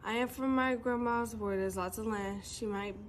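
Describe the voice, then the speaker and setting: A young girl student reading her own poem aloud, steady and careful. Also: on the high side, moderate pace. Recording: faint background noise.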